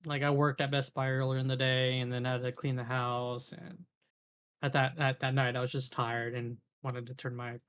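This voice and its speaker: A man's voice: medium pitch, flat delivery, normal speed, fairly bright tone, smooth. A man co-hosting a casual gaming podcast, laid-back and easygoing. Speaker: podcast co-host.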